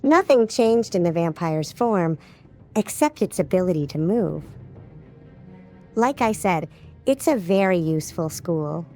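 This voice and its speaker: A woman's voice: fairly low pitch, expressive, unhurried, a little mellow, soft background music. A woman playing a meek, innocent young sorceress who explains herself earnestly in a fantasy audiobook. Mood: quietly assured. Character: meek young female sorceress, innocent, softly defensive scholar. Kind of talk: fully voiced fantasy audiobook dialogue.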